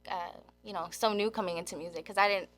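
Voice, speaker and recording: A woman's voice: mid-range voice, steady tone, quick pace, a bit bright, slight background noise. A woman in her twenties who grew up in Germany and South Korea, chatting informally as an interview guest with lots of filler "like". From Germany and South Korea, military child. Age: 20s. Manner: informal, heavy use of "like". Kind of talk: casual chat.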